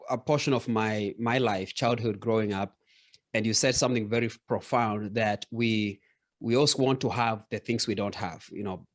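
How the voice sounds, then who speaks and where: A man from Kenya, hosting a podcast interview and affirming his guest as he shares his own story. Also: on the low side. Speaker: podcast host.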